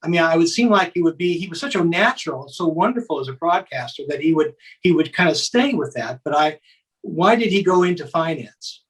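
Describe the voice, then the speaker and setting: A man's voice: fairly high pitch, expressive, brisk, husky, poor audio. A man taking part in an interview, asking questions in a conversational style. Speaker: interview participant.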